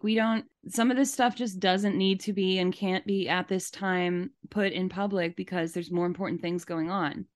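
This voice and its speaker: A woman's voice: on the low side, no room sound. A woman hosting a podcast, giving casual, opinionated commentary in an emphatic, rambling way. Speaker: podcast host.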